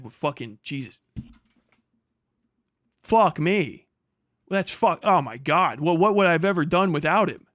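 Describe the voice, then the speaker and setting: A man giving casual, sweary livestream commentary in an exasperated, sarcastic, animated manner. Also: on the high side, no echo, clean studio sound. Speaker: livestream host reacting to a recorded conversation.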